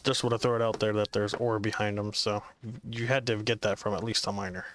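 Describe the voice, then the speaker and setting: A man's voice: lowish voice, level tone, fairly quick, a bit bright, slight background noise. A man giving casual gameplay commentary, muttering sardonic, sometimes profane remarks to himself as he plays. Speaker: gamer playing and commenting.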